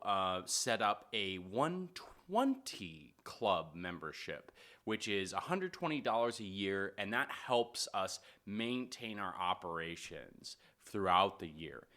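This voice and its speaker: Brief: man with a bright, crisp voice, chatty and candid, asking for donations. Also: lowish voice. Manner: candid, loose, chatty with fillers. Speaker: sound designer making a donation appeal. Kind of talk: casual spoken appeal.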